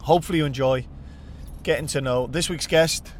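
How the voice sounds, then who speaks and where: A man's voice: a little high, brisk, slight background noise. A man with a Welsh accent whose first language is Welsh, hosting a podcast and chatting casually while driving. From Wales. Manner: chatty and upbeat. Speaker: podcast host. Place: in a car on the road.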